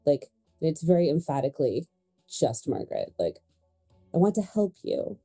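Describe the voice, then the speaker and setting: Mellow, dark-toned woman playing tabletop roleplay. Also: deep voice, sing-song, moderate pace, breathy edge, soft background music. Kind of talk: improvised character description in a tabletop game.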